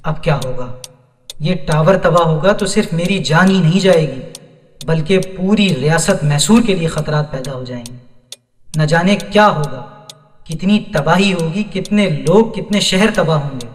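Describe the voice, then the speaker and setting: Echoey, distant recording; male actor as earnest, brave detective captain, fairly high voice, declamatory radio-play delivery. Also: normal speed, natural voice. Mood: anxious, desperate. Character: brave, devout captain, an ace detective held captive. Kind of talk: Urdu audio drama acting. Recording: echoey hall, distant from the mic.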